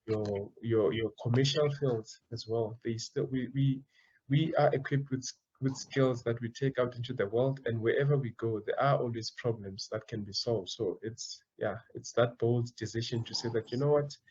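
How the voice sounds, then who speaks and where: A man's voice: medium pitch, a little mellow, breathy edge, dull audio. A young man answering interview questions about his path into business, earnest and often repeating words as he searches for phrasing. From South Africa. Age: young adult. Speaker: guest, student entrepreneur.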